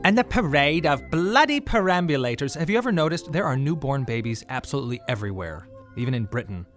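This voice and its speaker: An actor playing a grieving father, speaking in a measured, wry radio monologue with pointed pauses. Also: fairly high pitch, very animated, fairly bright tone, soft background music. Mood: wry, weary. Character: grieving bereaved father, wry, tense and plain-spoken. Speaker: narrator and lead of a monodrama. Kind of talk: dramatic first-person radio monologue.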